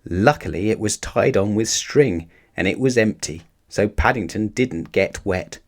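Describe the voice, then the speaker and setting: A man reading a children's picture book aloud as an expressive storyteller for young listeners. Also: fairly low pitch, a little slow.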